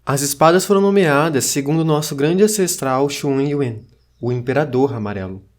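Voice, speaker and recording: A man's voice: a little high, normal speed, close to an everyday voice. A man playing an ancient survivor of a fallen dynasty, narrating in a solemn, formal storytelling manner. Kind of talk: scripted dramatic narration.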